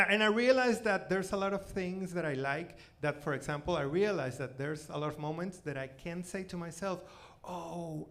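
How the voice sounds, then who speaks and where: A forty-year-old man with a Venezuelan accent and native Spanish tells stand-up stories conversationally, haltingly repeating his words. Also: fairly high pitch, fairly bright tone. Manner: halting, repeats words, punctuated with "you know" and "like". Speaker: stand-up comedian. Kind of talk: stand-up comedy, conversational storytelling. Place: comedy club stage, live performance. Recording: noisy live club recording.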